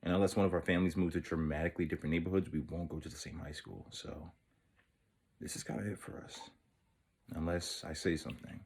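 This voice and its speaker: A man playing an earnest, lovestruck thirteen-year-old boy in an intimate, halting, wistful dramatic monologue. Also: low-pitched, fairly even intonation, a little mellow, slightly rough. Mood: anxious, wistful.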